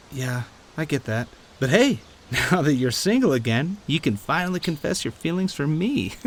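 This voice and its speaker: A man playing a teasing, warm-hearted young friend in an audio roleplay, conversational and given to playful grumbling. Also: on the high side. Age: young adult. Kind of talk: audio roleplay acting, addressing the listener.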